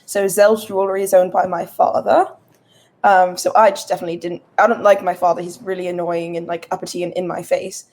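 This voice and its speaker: A woman's voice: lowish voice, little pitch movement, brisk, darkish tone. A woman chatting casually as a tabletop game player, informal and peppering her sentences with "like". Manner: informal, heavy use of "like". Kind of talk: casual chat.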